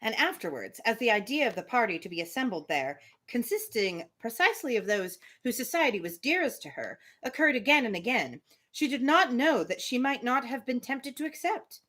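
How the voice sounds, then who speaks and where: Female book-reading host, expressive and swooping in pitch, crisp forward tone, informal commentary between passages. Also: fairly quick. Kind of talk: reading a novel aloud with casual asides.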